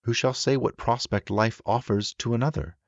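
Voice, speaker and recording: A man narrating a literary audiobook in a measured, formal and expressive reading manner. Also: medium pitch, no echo, lo-fi sound.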